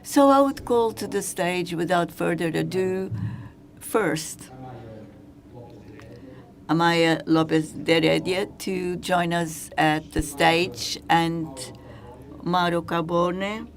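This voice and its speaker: A woman giving simultaneous interpretation at a conference, halting and hesitant. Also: on the low side, steady tone, creaky.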